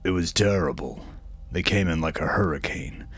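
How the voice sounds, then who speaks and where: A man's voice: deep voice, normal speed, a bit bright, husky, soft background music. A man voicing a shaken, plain-spoken eyewitness recounting events in a radio interview.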